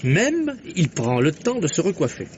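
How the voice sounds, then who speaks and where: Keen journalist sleuth, swoops up and down, theatrical, old noisy french radio drama. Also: male voice, a little high, huge pitch swings, measured pace, a little mellow, lo-fi sound. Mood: animated deduction. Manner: theatrical, reasons step by step, questioning. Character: keen, talkative male journalist-painter turned amateur detective. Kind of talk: mid-century French radio mystery acting.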